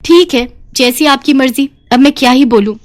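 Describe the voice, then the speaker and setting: A sensible young village daughter-in-law , put on by a female narrator. She is patient and polite, gently remonstrating in a fairly high voice suited to a cartoon moral story. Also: moderate pace, lightly acted. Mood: resigned.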